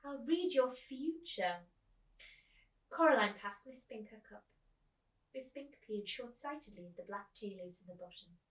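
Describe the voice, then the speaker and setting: A woman teacher reading a storybook aloud to children and then asking them questions, with an expressive, lively manner. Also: mid-range voice, normal speed, roomy sound, distant mic, rough recording.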